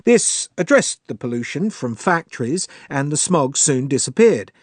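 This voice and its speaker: A man's voice: a little high, sing-song, normal speed, slightly rough, no room sound. A man delivering a scripted academic lecture as the lecturer.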